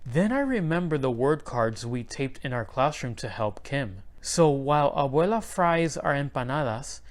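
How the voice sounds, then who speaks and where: A man reading a children's picture book aloud, in clear and expressive storybook narration. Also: medium pitch, normal speed.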